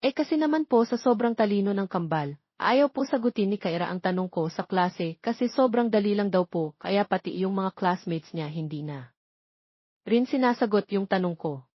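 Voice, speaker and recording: A woman's voice: medium pitch, animated, normal speed, breathy edge. A woman voicing a polite, exasperated schoolteacher who explains things, in a story. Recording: muffled lo-fi, roomy echo.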